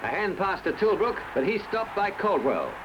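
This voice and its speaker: A man with an Australian accent narrating a scripted 1970s sports newsreel in formal, measured phrasing. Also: high voice, big pitch swings. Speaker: newsreel commentator. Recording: dull, noisy old newsreel soundtrack.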